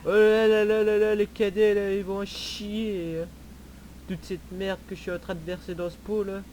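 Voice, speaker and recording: A man's voice: high-pitched, level tone, normal speed, darkish tone, low-quality recording. A man improvising roleplay banter in an online game in a teasing, crude, clownish manner, often repeating his phrases. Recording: faint background noise.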